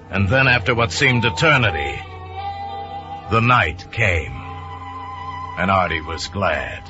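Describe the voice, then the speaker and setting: A man's voice: sits low, bright, forceful tone. A man narrating a suspense story in a dramatic, scripted radio style. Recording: muffled vintage radio recording with music. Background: music.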